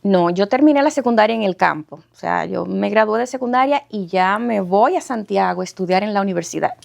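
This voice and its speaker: Gravelly Dominican woman telling her story. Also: mid-range voice, a little fast. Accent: Dominican Spanish. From Dominican Republic. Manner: warm, animated storyteller, laughs often. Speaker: guest. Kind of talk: personal life story interview.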